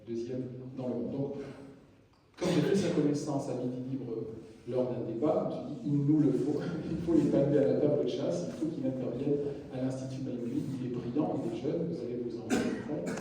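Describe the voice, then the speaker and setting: A man's voice: mid-range voice, brisk, mellow, slight background noise. A man hosting a public lecture and giving a formal introduction of the guest, reading a list of titles with small restarts. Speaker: host introducing a guest speaker. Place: large lecture hall. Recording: echoey hall, mic picked up from a distance.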